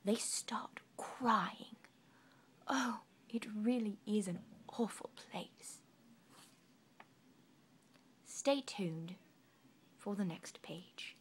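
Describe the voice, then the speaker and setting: Woman, storybook narrator, expressive, hushed, deliberate, slow pace, mid pitch. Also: a little hiss or hum. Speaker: storybook reader.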